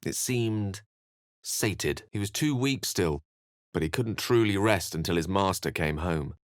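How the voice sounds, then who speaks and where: An actor playing a scholarly, self-assured and romantic human wizard in a video game, with an eloquent, theatrical way of speaking. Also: male voice, sits low, animated, unhurried, no room sound, clean studio sound. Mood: grave, narrating. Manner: eloquent, theatrical, ornate phrasing. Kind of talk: video game character lines and narration.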